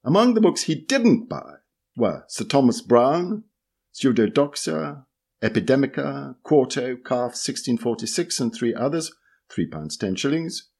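A man reading an essay aloud, measured and deliberate as he works through lists of book lots. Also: medium pitch, very animated, vocal fry, a little mellow. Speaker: reader. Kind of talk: scripted narration of an essay.

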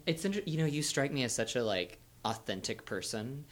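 A man hosting a dance podcast, asking rambling, hesitant questions in a relaxed chat. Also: medium pitch, brisk. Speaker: podcast host. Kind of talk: conversational interviewing.

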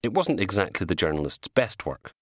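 A man reading his own crime novel as an audiobook, in an animated scripted narration. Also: deep voice, fairly quick, dry recording, clean studio sound.

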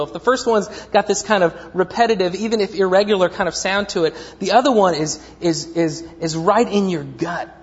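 Rambling pastor in a reverberant church. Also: male voice, sits high. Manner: rambling, self-deprecating, many fillers and restarts. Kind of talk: sermon. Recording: dull lo-fi church recording with long reverb.

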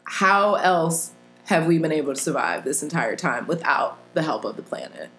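A woman's voice: deep voice, big pitch swings, normal speed, fairly bright tone, soft background music. A woman talking earnestly and thoughtfully as a guest in a casual conversation, laughing easily. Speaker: podcast guest. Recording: roomy echo.

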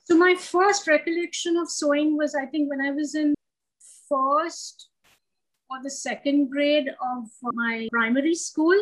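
A woman's voice: high voice, a little slow, rough recording. A middle-aged woman, from Sri Lanka and India, answering questions conversationally as an interview guest.

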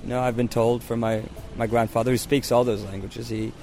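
A man answering interview questions in a thoughtful way, pausing now and then with small hesitations, at an outdoor cafe. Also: mid-range voice, little pitch movement, a little mellow, soft background music.

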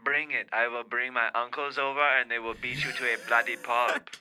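Man as bright, clingy, jealous Armenian woman. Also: mid-range voice, steady tone, moderate pace, clean tone, poor audio. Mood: threatening. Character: jealous, clingy Armenian woman named Shushan, threatening and petty. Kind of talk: improvised comedy role play.

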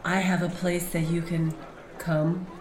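A woman's voice: lowish voice, little pitch movement, unhurried, darkish tone, natural voice. A woman playing a seductive temple priestess in a comic radio play, sultry and drawn out, in an echoey room. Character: seductive, languid temple priestess luring travellers. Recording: echoey room, faint background noise.

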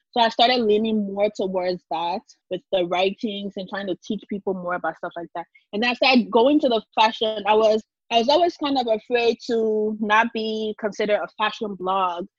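A woman's voice: medium pitch, dry recording. A talkative young woman from Liberia, telling her story conversationally as an interview guest. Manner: talkative, laughs easily. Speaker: interview guest, culture and fashion blogger. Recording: dull, lo-fi dry audio.

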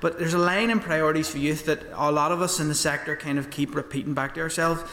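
A man's voice: fairly high pitch, fairly even intonation, fast talker, pushed and bright. A man, giving evidence at length to a committee. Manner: light voice, explains at length. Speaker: youth sector witness. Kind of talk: committee hearing testimony. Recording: echoey hall with faint noise.

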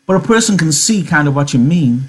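Man putting on a high, vain young farm wife. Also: expressive, fairly quick, slightly rough. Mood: complacent, chatty. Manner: chatty, informal rustic speech.

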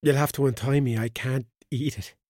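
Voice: mid-range voice, steady tone, normal speed, a little mellow, no echo, clean studio sound, lightly acted. A performer playing a frightened, tied-up captive in an audio drama, pleading, wary and distressed. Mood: distressed, confused.

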